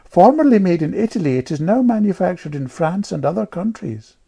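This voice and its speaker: A woman's voice: on the low side, lively intonation, a little fast, creaky, mellow, slightly rough, voice pushed up, heavily acted. A woman voicing a prim, know-it-all little girl who recites facts in a piping, pedantic way for a storybook. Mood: smugly instructive.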